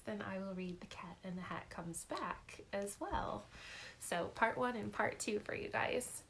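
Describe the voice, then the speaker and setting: A woman preschool teacher reading a picture book aloud at home in an expressive, sing-song storytelling way. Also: mid-range voice, slight background noise.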